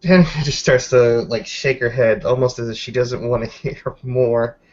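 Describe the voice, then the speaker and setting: A man's voice: medium pitch, fairly even intonation, normal speed, a little mellow. A man improvising third-person narration as a player in a tabletop role-playing game. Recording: slightly muffled lo-fi audio.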